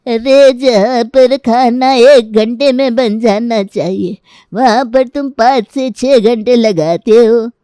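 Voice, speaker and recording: A woman's voice: on the high side, takes it slowly, warm tone, clear, resonant tone, dry recording, clean studio sound. A woman voicing an elderly grandmother-in-law in a storybook tale, hungry and grumbling with complaint. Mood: indignant.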